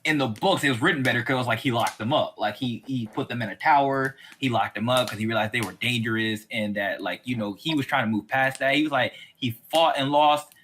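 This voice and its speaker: Bright-voiced man, casual and opinionated. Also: medium pitch, brisk, hardly any pauses, a little hiss or hum. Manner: rambling, colloquial, repeats for emphasis. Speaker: podcast host. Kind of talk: casual opinionated chat about TV.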